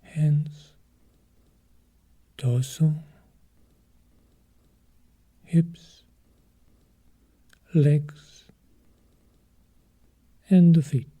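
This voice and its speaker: A man leads a guided meditation as a teacher. His voice is very mellow and dark, with a soft, husky breathiness. He speaks extremely slowly and calmly, in short measured phrases separated by long pauses. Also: fairly high pitch.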